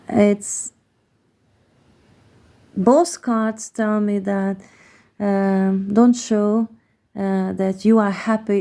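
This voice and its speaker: Hungarian-accented woman reading tarot cards, hesitant and unhurried, dark gravelly voice. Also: mid-range voice. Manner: hesitant, searching for words with many pauses. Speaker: tarot card reader. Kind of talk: slow, reflective card reading.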